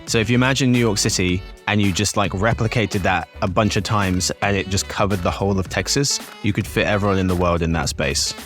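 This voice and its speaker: Man, podcast host, cheery, chatty, somewhat low voice. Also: a little fast, hardly any pauses, a bit bright, soft background music. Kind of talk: upbeat podcast hosting.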